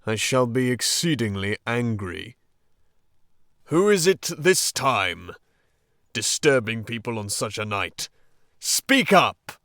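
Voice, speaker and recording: A gruff old badger from a storybook, as a male reader puts him on, slow and deliberate, carrying a protective, fatherly air. His voice is raised a little in pitch and booms loud, with lively swings in the melody. The recording is close and dry. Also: no room sound, exaggerated voice. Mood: gruff, suspicious. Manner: gruff, suspicious, then fatherly. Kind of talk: storybook reading.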